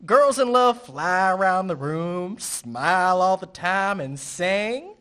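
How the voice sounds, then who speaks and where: A man's voice: high-pitched, animated, takes it slowly, a bit bright, exaggerated voice. A man playing a naive, earnest marina worker in a radio play, guileless and eager.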